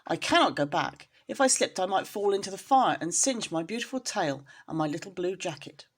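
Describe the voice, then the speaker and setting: A woman's voice: on the low side, lively intonation, a little fast, breathy edge. A woman voicing a naive, worried little boy kitten in a storybook, speaking plaintively.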